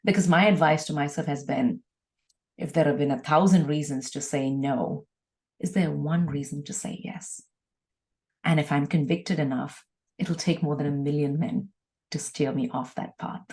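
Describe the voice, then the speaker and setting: Podcast guest, woman, deep register, conversational yet emphatic and sincere. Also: steady tone, normal speed, a little mellow. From India. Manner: earnest, emphatic, with rhetorical repetition. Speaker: podcast guest, ministry leader. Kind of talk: conversational interview, encouraging testimony.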